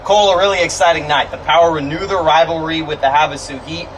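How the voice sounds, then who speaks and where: A man with a fairly high voice opens a baseball pregame show as host. He sounds upbeat and presenterly, with faint outdoor noise around him. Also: fairly even intonation, fast, fairly bright tone, rough recording. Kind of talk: broadcast show open. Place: outdoors at a ballpark.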